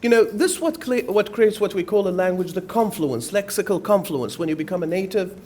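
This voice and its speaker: A man in the audience of a conference workshop, speaking emphatically and filling pauses with "basically" and "you know". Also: sits high, expressive, a little mellow, a little hiss or hum. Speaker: audience member, lecturer. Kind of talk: spontaneous comment. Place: conference room.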